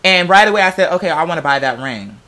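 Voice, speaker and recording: Male vlogger, bright forceful high voice, casual storyteller, slangy, profane and theatrical. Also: lively intonation, quick pace.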